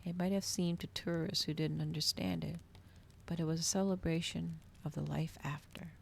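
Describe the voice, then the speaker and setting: Low, creaky-voiced woman reading softly. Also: fairly even intonation, normal speed, slight background noise. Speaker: streamer reading a novel aloud. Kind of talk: ASMR storybook reading, soft narration.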